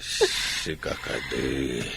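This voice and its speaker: Man playing ailing elderly father, Filipino accent, whispery weakness, tender, very slow, audio drama, noisy background. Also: very deep voice, full character voice. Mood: weak, tender. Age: older. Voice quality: weak, breathy whispering. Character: frail ailing old father, tender, dying. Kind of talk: Ilocano audio drama acting. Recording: background noise behind the voice.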